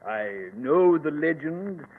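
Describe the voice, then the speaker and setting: Excitable high-voiced archaeologist knight, old radio. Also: male voice, slow, phone-quality sound. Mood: dismissive. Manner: grandiose, emphatic, dismissive of superstition. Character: excitable, obstinate British archaeologist knight, thrilled by his discovery. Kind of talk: old-time radio drama acting. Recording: noisy, thin vintage radio recording.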